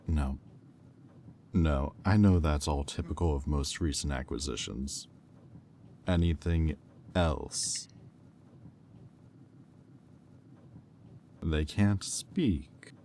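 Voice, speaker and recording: A man voices a haughty demon with an extremely low voice and a slow, deliberate delivery. He is sardonic and condescending, in the manner of an audio roleplay villain, over faint background noise. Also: darkish tone, exaggerated voice. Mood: cool, probing. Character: haughty, sardonic male demon bidder at auction.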